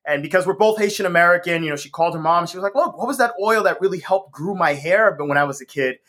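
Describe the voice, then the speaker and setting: Bright-voiced, upbeat man laughing easily. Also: high voice, fast. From Northeast US. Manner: enthusiastic, laughs often, many ums. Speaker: guest, company co-founder. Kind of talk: casual interview chat.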